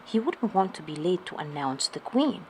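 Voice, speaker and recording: A woman's voice: lowish voice, very animated, moderate pace, darkish tone, a little hiss or hum. A woman narrating a children's storybook aloud as a read-along.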